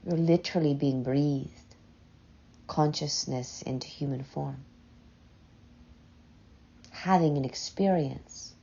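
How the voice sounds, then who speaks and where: A woman guides a meditation in a mellow, dark, husky voice. She speaks softly and very slowly, leaving long pauses between short, gentle phrases. The recording sounds slightly muffled. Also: low voice. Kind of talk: slow, soothing guided meditation.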